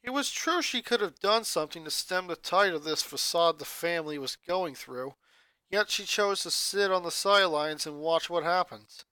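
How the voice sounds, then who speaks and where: A man's voice: high voice, lively intonation, normal speed, sharp tone, smooth, no room sound. Man narrating a fanfic, dry and close. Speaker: fanfic reader. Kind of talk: story narration.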